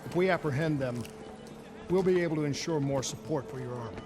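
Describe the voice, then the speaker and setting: An actor playing a level-headed, diplomatic soldier on a covert team, plain and measured, in a video game. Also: male voice, a little high, over music, close to an everyday voice. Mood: measured, serious. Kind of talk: video game cutscene acting.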